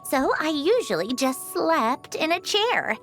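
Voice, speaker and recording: A woman's voice: high-pitched, sing-song, unhurried, fairly bright tone, soft background music, full character voice. A woman voicing a prim, wry clockwork marionette in a video game, composed and formal, dryly teasing, aloof but fond. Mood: wry, confiding. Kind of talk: scripted video game character voice lines.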